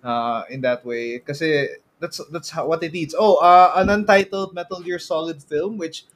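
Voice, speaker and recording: Male podcast co-host, Filipino accent, slightly high register, loose rambling conversational delivery. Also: moderate pace, slight background noise. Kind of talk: casual chat about films and games.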